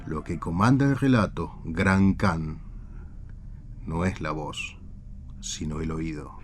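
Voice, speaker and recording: Man acting low-pitched wandering storyteller, calm, measured, radio drama, music behind. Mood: enigmatic.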